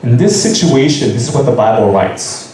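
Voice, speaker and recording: A man's voice: medium pitch, big pitch swings, normal speed, mellow. A man interpreting a sermon into English phrase by phrase, with occasional restarts. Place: church hall. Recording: very echoey hall, distant mic, faint hiss.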